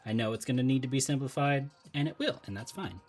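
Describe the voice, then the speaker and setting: A man talking casually on a live stream while he draws, thinking out loud, laughing often and chatting with viewers. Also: medium pitch, fast talker, soft background music.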